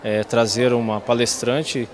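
Measured man, faint background noise. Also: medium pitch, little pitch movement, a little mellow. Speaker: student. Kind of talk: interview answer. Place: large indoor sports hall. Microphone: handheld mic held up by a reporter.

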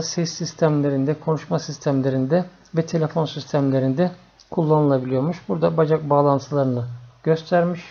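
A man narrating an informal electronics tutorial in an unhurried, matter-of-fact way. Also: on the high side, dark tone. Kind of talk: informal explanatory screencast narration. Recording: dull, lo-fi screencast audio.

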